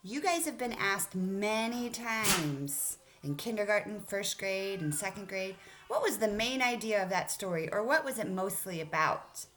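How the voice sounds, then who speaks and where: A woman's voice: medium pitch, lively intonation, unhurried, few pauses, a bit bright, faint music behind. Woman teacher leading a children's read-aloud lesson. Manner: encouraging, instructive, addressing children directly. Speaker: elementary school teacher.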